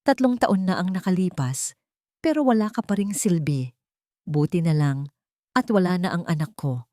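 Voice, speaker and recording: A woman's voice: on the low side, animated, normal speed, dark tone, no room sound, clean studio sound, lightly acted. Woman voicing a scornful, nagging older matron. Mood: bitter, reproachful. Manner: belittling, complaining.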